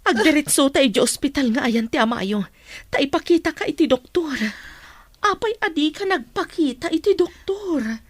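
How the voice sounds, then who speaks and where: Woman playing worried mother, radio drama, fairly high voice, fretful questioning, dry close sound, faint music behind. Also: expressive, moderate pace, dry recording. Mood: alarmed, worried. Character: worried mother, resentful of her sick husband. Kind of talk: radio drama acting in Ilocano.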